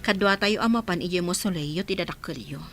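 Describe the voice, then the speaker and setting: A woman's voice: lowish voice, fairly quick, a bit bright, soft background music. A woman with a Filipino accent playing a kindly, sociable village auntie in a radio comedy drama. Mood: friendly.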